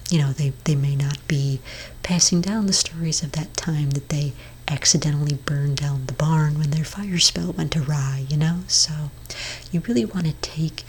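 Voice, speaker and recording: A woman playing a gentle, reassuring witch therapist in an ASMR roleplay, speaking in a soft, breathy whisper with hesitant pauses. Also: low voice, steady tone, rough recording. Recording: clearly audible background noise.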